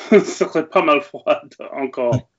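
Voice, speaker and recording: English-speaking Canadian man halting in French. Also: fairly high pitch. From Saskatchewan, Canada. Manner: halting, searching for French words, mixing in English. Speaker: podcast guest. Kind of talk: casual chat. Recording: dull lo-fi audio.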